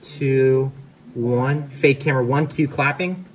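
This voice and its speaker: A young man, a student director, calling camera cues in a studio control room with brisk, clipped commands. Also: mid-range voice, steady tone, a crowd in the background, low-quality recording. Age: young adult. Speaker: live broadcast director.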